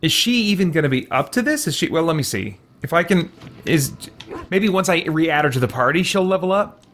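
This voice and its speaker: A man's voice: on the high side, expressive, brisk, fairly bright tone, slight background noise. A man giving casual let's-play commentary, muttering to himself hesitantly and exclaiming mild oaths as he thinks aloud. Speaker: gaming video host.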